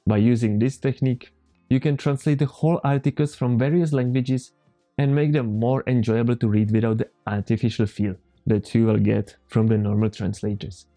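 A man in his thirties with a Czech accent, calmly walking viewers through a computer tutorial step by step as the channel's host. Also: medium pitch, normal speed, faint music behind. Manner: calm, methodical step-by-step explaining. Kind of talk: screen-recorded software tutorial. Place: home room, indoors.

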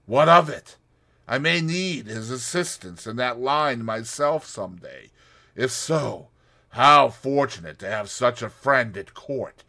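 A cool, scornful society schemer, put on by a man reading aloud, unhurried and measured. Her lines come slow and level, with a bright, clear tone and lively turns. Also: mid-range voice, exaggerated voice.

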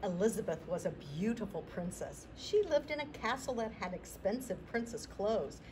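Animated middle-aged woman reading a storybook. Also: mid-range voice, noisy background. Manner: expressive, deliberate storytelling. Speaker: library storytime host. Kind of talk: picture-book read-aloud to children. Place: indoor library room.

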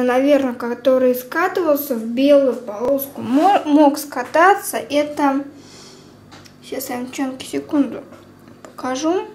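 High-pitched young woman, casual vlogger. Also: a little mellow. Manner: unhurried, informal, thinking aloud. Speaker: beauty vlogger. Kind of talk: casual product review chat. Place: indoors at a table at home. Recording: clear background room noise.

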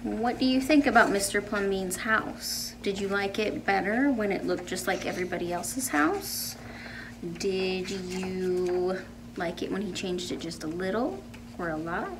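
A woman in her thirties reading to children in a measured, deliberate storytelling delivery. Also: medium pitch. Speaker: storytime reader and art teacher. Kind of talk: picture book read-aloud for children. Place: indoor room with painted wall. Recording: faint room background noise.

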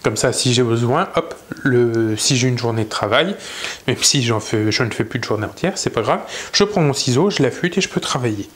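A man in his thirties casually showing his woodworking workshop, talking in a relaxed way with many pauses and fillers. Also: medium pitch, husky. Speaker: woodworking channel host. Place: indoor wood workshop.

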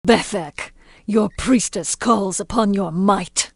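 An actress playing a devout, commanding female troll priestess with a husky voice in a fantasy video game. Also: medium pitch, slow pace, dry recording, studio quality, heavily acted. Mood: commanding invocation.

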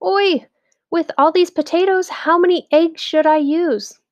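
A woman's voice: extremely high pitch, unhurried, dry recording, pitched above the performer's own voice. A woman voicing an exasperated, dramatic village wife from a storybook, groaning and exclaiming. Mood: overwhelmed.

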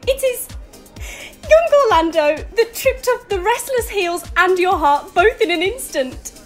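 A playful young female confidante in Shakespearean audio comedy, acted by a woman. Her very high voice leaps about and lingers slowly over the teasing, with faint music underneath. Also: lively intonation.

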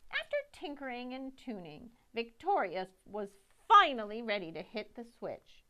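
A middle-aged woman reading a picture book aloud to children, with dramatic, deliberate phrasing. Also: fairly high pitch, sharp tone, clean tone. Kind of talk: expressive storytime read-aloud.

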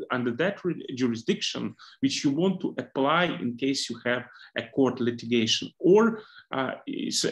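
A man in his thirties from Ukraine, interviewing on a video call with halting, filler-heavy questions. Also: medium pitch.

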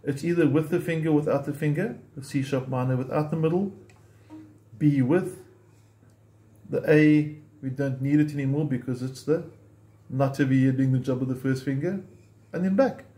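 A man's voice: a little high, normal speed, dark tone. A man teaching ukulele chords step by step in a patient, methodical way, recorded in a home music room with the camera close on his instrument. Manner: patient, methodical, explains while playing.

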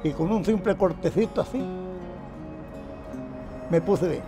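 A man's voice: sits high, unhurried. Older man, plain-spoken, over music. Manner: plain-spoken, repeats phrases, chuckles often. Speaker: former patient. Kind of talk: casual interview answers. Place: shaded outdoor patio. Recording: music bed underneath. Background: background music.

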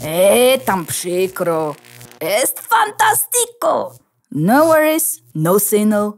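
A woman's voice: mid-range voice, huge pitch swings, extremely slow, heavily acted. A woman playing a cheeky, romantic, risk-loving loose computer contact in a children's audio drama, slangy and theatrical. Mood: breezy.

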